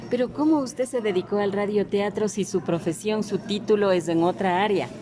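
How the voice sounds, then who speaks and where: A woman's voice: medium pitch, moderate pace, over music. A woman, posing prepared questions as an interviewer. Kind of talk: scripted-sounding interview questions. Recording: noticeable background noise.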